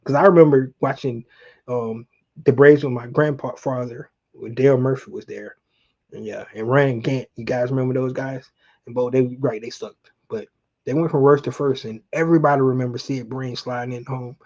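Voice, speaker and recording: A man's voice: medium pitch, fairly even intonation, normal speed, a little mellow, lo-fi sound. A middle-aged man from Atlanta, chatting casually and colloquially to viewers as a sports livestream host. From Atlanta, Georgia, United States. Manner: conversational, addresses viewers directly, colloquial. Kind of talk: casual fan chat, reminiscing about sports.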